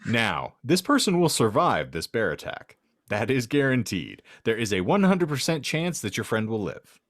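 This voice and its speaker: A man's voice: medium pitch, big pitch swings, moderate pace, fairly bright tone, no echo, clean studio sound. A man hosting a podcast, reading out a comic hypothetical and bantering in a wry, wordy, mock-formal manner. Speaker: podcast host.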